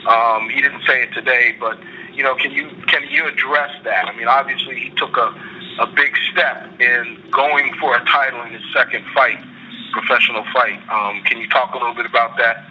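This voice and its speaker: A man working as a reporter, putting halting, wordy questions on a conference call. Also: medium pitch, steady tone, bright, forceful tone. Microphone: telephone conference call. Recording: muffled phone line, faint noise.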